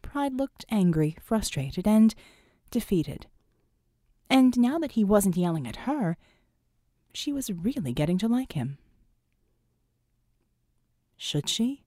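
Female audiobook narrator, medium pitch, expressive storytelling delivery, very dry close recording. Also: slow pace, pauses often, no room sound. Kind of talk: expressive scripted fiction narration.

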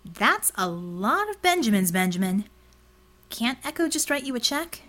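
A woman voicing a sarcastic, easily exasperated woman detective in an audiobook, with a wry and animated delivery. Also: a little high, normal speed. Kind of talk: audiobook character dialogue.

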